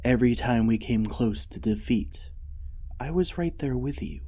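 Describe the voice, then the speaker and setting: A man voicing a gentle, devoted mech AI in a soft, soothing, earnest ASMR roleplay. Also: medium pitch, moderate pace, close to an everyday voice. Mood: earnest, tender. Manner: soothing, earnest, hesitant, often dropping to a whisper. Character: gentle, devoted mech artificial intelligence comforting its pilot.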